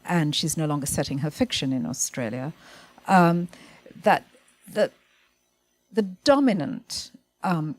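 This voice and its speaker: Thoughtful, slightly low-voiced woman panellist. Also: a little mellow. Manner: thoughtful, halting, self-correcting delivery. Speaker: panel speaker. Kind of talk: literary panel discussion.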